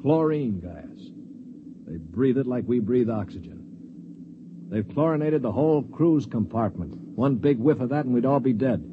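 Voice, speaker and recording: A man's voice: mid-range voice, moderate pace, background noise, close to an everyday voice. Man doing a level-headed, dry-witted hero narrating a vintage sci-fi radio play. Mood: calm. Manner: calm, sardonic, reasoning. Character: world-weary space traveler and narrator. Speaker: narrator and lead. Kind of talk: 1950s radio drama acting. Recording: dull old radio recording with music behind.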